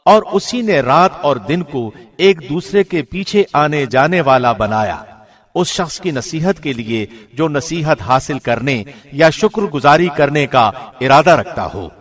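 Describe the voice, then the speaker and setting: A man reading a scripture translation from a script in a formal, solemn, measured manner. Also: on the high side, lively intonation. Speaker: translation reader, radio host.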